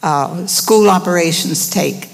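An older woman in her sixties or beyond giving a measured, earnest public address at a podium microphone. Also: on the low side, creaky. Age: 60s or older. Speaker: former school employee speaking at public comment. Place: large indoor board meeting room. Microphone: gooseneck podium microphone. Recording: reverberant meeting hall.

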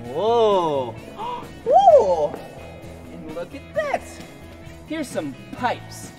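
A man's voice: very high voice, wildly swooping pitch, very slow, vocal fry, dark tone, soft background music. Giddy man hosting a kids' show. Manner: exaggerated exclamations and giggles. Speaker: children's show host. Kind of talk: animated educational narration for toddlers. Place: indoor ice rink.

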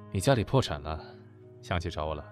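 A man's voice: sits low, animated, moderate pace, soft background music. A man playing a firm, protective young man in a radio drama, curt and controlled. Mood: cold, scornful. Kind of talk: web radio drama acting.

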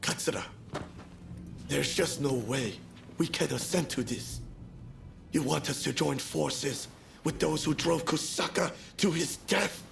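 An actor playing a proud, hot-headed and loyal samurai warrior in a video game cutscene, blunt, defiant and formal in speech. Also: male voice, a little high, measured pace, creaky, pauses often, a bit bright, a little hiss or hum, heavily acted. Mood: indignant, reluctant.

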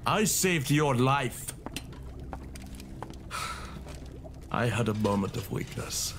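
A man's voice: medium pitch, animated, slow, a little hiss or hum. A man playing a stern, remorseful older time-traveller in an audio drama, grave and curt.

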